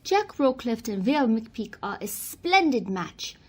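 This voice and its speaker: A woman's voice: on the high side, big pitch swings, a little slow, husky, heavily acted. A woman playing a prim, imperious society social secretary, emphatic and proper, in a radio drama. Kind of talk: old-time radio drama acting.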